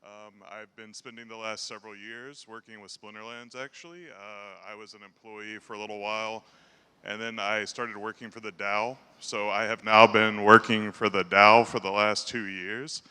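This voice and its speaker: A man with a low voice giving his first conference talk, hesitant and full of fillers, holding the mic close. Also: little pitch movement, normal speed, bright, forceful tone, smooth, in a large hall. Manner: hesitant, many fillers and restarts. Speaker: conference speaker. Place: large conference hall. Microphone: handheld mic held close.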